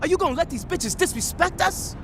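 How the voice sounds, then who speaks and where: A man's voice: extremely high pitch, expressive, brisk, a bit bright, soft background music. An actor playing a sarcastic, hotheaded male gang money man in a game cutscene. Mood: agitated. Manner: sarcastic and agitated.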